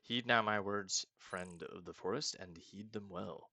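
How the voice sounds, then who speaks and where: A man voicing a solemn, stately female forest spirit from a fantasy game, speaking slowly, gravely and formally. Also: deep voice, pushed and bright, a little hiss or hum, exaggerated voice. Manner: slow, grave, formal prophetic delivery.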